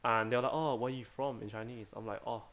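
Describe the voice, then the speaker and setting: A young man with a mid-range voice co-hosts a podcast. He talks in a relaxed, easygoing way and explains things at an unhurried conversational pace. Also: mid-range voice. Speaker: podcast co-host. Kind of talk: casual chat.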